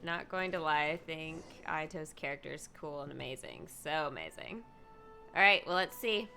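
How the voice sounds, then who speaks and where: Animated, low-pitched woman game streamer. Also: normal speed, creaky, faint music behind. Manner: expressive, thinking aloud. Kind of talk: casual reactive gameplay commentary.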